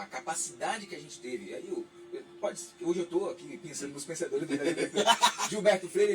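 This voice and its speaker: A man, a radio guest talking politics in a reflective, lecturing way with frequent restarts. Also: on the high side. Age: 40s. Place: radio studio. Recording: distant mic, faint music underneath.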